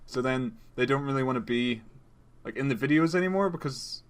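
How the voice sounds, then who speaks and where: A man co-hosting a podcast in casual, comedic brainstorming chat, excitable and given to swearing. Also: medium pitch, brisk. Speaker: podcast co-host.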